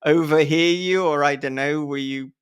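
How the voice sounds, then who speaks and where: A man hosting a casual, joking interview in a playful, giggly and halting manner. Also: fairly high pitch, fairly even intonation, clear, resonant tone. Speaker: podcast host.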